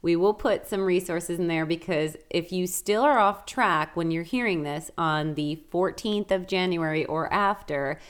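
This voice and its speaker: Woman, podcast host, low voice, upbeat, explanatory, promotes products. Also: a little slow, few pauses, smooth. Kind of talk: casual chat and product promotion.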